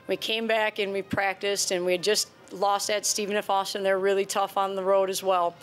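A woman in her forties talks earnestly into a table microphone at a postgame press conference. She speaks at a mid pitch in a loose, conversational way and doubles up words as she restarts her thoughts. The sound is close and clean. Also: little pitch movement, moderate pace, soft background music. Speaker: head basketball coach. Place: press conference room before a sponsor backdrop.

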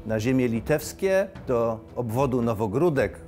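A man, giving expert documentary commentary emphatically, with dramatic pauses. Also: mid-range voice, lively intonation, a little mellow. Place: indoor studio. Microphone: lapel. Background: music.

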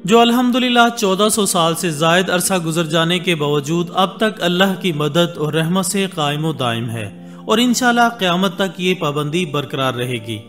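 High-voiced man narrating a documentary. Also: lively intonation. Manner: measured, formal Urdu delivery with Arabic religious phrases. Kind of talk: scripted voice-over narration. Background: soft background music.

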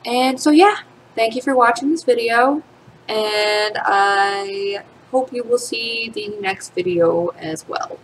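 A woman's voice: a little high, takes it slowly, a little hiss or hum, poor audio. A young adult woman giving a casual tutorial as host, talking through what happens on her screen. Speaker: tutorial host, game developer. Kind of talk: casual screen-recorded software tutorial with read-out dialogue. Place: small home room, indoors. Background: background music.

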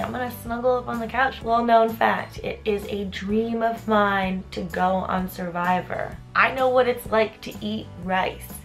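A woman's voice: medium pitch, vocal fry. A young woman in her twenties, vlogging casually to a handheld camera, explaining things in a friendly, chatty way. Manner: upbeat and chatty, then quieter and drowsy. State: groggy after treatment. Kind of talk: casual vlog narration. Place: outdoors in a city alley, later a bedroom. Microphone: handheld camera at arm's length.

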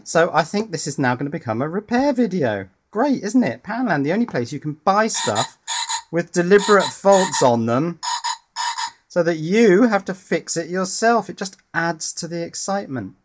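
A man talks through an electronics repair at his bench. His delivery is relaxed and wry, full of small pauses and "uh"s as he thinks aloud. He sounds like a hobbyist chatting to a camera. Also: sits high, slight background noise. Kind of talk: casual tinkering commentary. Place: indoors at a workbench.

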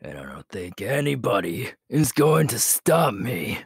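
A man playing a ruthless, menacing young werewolf alpha in an ASMR audio roleplay, gravelly and breathy, slow and boastful, often dropping to a whisper. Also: medium pitch, very animated, dry recording, clean studio sound, exaggerated voice. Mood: menacing, ambitious.

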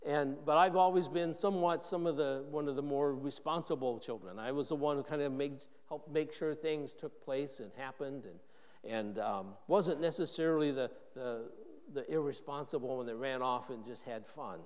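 A man's voice: medium pitch, moderate pace, darkish tone. A man in his sixties, a pastor giving a conversational sermon, often restarting his sentences as he thinks aloud. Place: church sanctuary. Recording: long church reverb.

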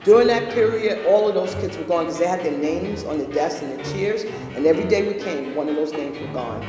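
A woman's voice: fairly low pitch, animated, a little fast. A middle-aged woman speaks as a parent telling her own account in an interview. The room rings with heavy echo and long reverb, with music playing behind her. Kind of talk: personal testimony in an interview. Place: indoors, school-like room. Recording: very echoey room, music underneath.

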